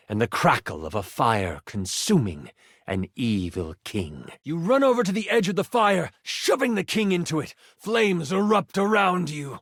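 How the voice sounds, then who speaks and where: A man's voice: a little high, a bit bright, no room sound, clean studio sound, heavily acted. An actor playing a game master in a video game, a man narrating a tabletop fantasy adventure with theatrical, suspenseful timing. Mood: hushed drama. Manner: theatrical, suspenseful storytelling with weighted pauses. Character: living twenty-sided die, dramatic male game master.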